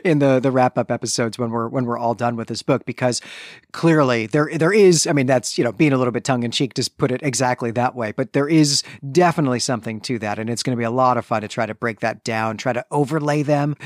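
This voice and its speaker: Man, podcast co-host, medium pitch, dry close recording, methodical book recap, wordy asides. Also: dry recording. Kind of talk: book discussion, recapping and commenting.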